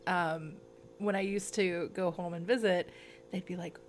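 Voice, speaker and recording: A woman's voice: lowish voice, fairly even intonation, measured pace, slight background noise. A young woman from the American South, chatting playfully and enthusiastically as a podcast co-host. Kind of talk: casual chat.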